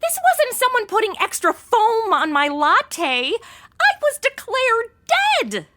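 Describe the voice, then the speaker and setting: A high, bright voice that swings all over the place as a woman acts out a flighty young American ex-cheerleader. She is self-absorbed and melodramatic, talking in modern slang in an audio comedy. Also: very animated, slow, slight background noise, exaggerated voice. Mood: indignant. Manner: dramatic modern slangy delivery. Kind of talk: comedy audio drama acting.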